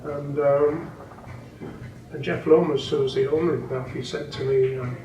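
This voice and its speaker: An elderly man in his eighties, a native Welsh speaker, recalls decades of snooker coaching in a slow, meandering way. The recording is distant and roomy. A steady hum of background noise sits under his voice. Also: on the high side. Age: 80s. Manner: unhurried, rambling with many ums and restarts. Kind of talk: interview, reminiscing. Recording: echoey room, distant mic, background noise.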